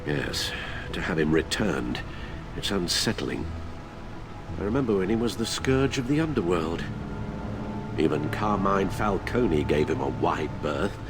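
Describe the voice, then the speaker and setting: An actor playing a loyal, careworn older British butler in a video game, polite and formal in manner. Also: male voice, low-pitched, expressive, unhurried. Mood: grave, uneasy. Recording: music behind the voice.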